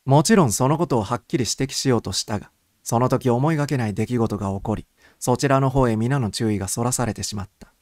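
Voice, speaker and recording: A man's voice: mid-range voice, fast talker, darkish tone, dry recording. Man, mid-range voice, scripted audiobook narration, first-person storytelling, dry recording.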